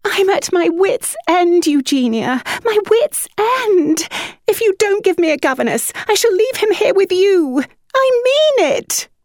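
A desperate, high, shrieking lady at her wits' end, as a woman reader puts her on. Her lines come out slow and drawn-out, full of melodrama. The sound is close and dry. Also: sing-song, a little mellow, no echo, exaggerated voice. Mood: wailing. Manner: wailing, shrill and dramatic. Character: overwrought young noblewoman, wailing mother.

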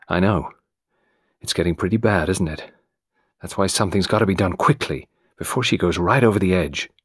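A man's voice: deep voice, normal speed, slightly rough, no room sound, studio quality. A man voicing a bitter young man in an audiobook, intense and nervous beneath a firm, matter-of-fact manner. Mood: grim. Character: tense, desperate, bitter young man plotting murder.

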